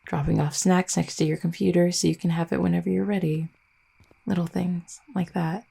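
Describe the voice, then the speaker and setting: A woman's voice: on the low side, flat delivery, moderate pace, creaky, warm tone, breathy edge, full character voice. A woman playing an affectionate, teasing vampire girlfriend in an intimate roleplay audio, speaking in a tender, conversational way. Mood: doting.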